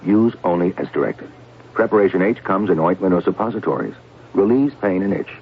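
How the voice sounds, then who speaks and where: A male announcer reads a scripted radio commercial in a deep voice. The old broadcast is muffled, with faint hiss. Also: animated, fairly quick. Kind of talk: scripted radio advert read.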